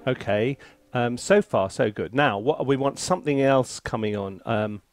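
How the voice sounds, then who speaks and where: A man's voice: medium pitch, moderate pace. A man giving a casual think-aloud music tutorial in a playful, self-deprecating, chatty way. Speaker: composer presenting a music software tutorial and review. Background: orchestral sample music.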